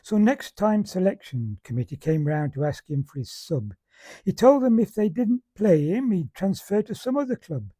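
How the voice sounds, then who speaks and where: Man, comic monologue recitation, very mellow dark tone, husky, measured dialect storytelling. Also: high voice, very animated, no room sound.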